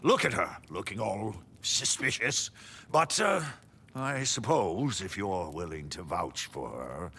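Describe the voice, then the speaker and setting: An actor playing a boisterous, theatrical, suspicious ally in a video game, effusive and exclamatory, with a husky, gravelly voice. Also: male voice, sits low, animated, slow pace, bright, forceful tone, slight background noise, full character voice. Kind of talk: video game character dialogue.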